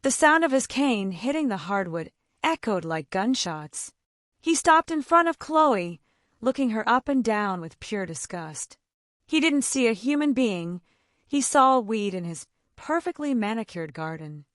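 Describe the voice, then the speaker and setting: A woman narrates a dramatic romance story with a scripted, expressive delivery. Her voice sits fairly high and moves through a wide, lively pitch range. The sound is close and dry, with no room around it. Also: measured pace, no room sound.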